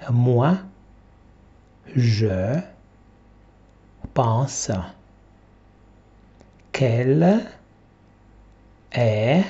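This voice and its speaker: A man, a French teacher, reading a textbook dialogue aloud one word at a time with long pauses. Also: medium pitch, dark tone. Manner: reads each word separately with long pauses. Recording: dull lo-fi recording.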